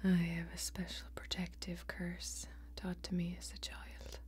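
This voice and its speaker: A woman's voice: fairly low pitch, completely flat delivery. Whispering, guarded warrior ranger woman acted by a woman. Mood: guarded, solemn. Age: young adult. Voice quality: soft, breathy whisper. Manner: slow, deliberate, intimate. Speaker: ASMR role-play performer. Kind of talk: soft-spoken whispered fantasy ASMR role-play.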